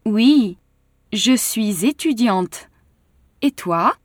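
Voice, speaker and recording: A woman voicing a young female student in a slow, clearly spoken language-course dialogue. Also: on the high side, animated, no echo, clean studio sound, heavily acted. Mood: polite. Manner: careful, clearly articulated. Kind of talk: slow scripted textbook dialogue.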